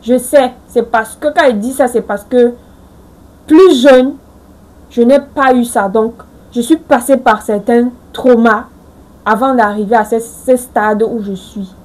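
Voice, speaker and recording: Woman creator, forties, soft mellow voice, earnest self-help pep talk to viewers. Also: fairly high pitch, a little slow, rough recording. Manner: earnest, reassuring, addresses viewers directly. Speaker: video creator giving life advice. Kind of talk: motivational talk to camera.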